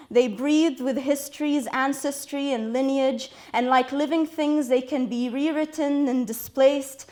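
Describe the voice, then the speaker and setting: A woman performs a spoken word poem in a high-pitched voice. Her delivery is impassioned and rhythmic. She leans hard on single words and lets the lines build.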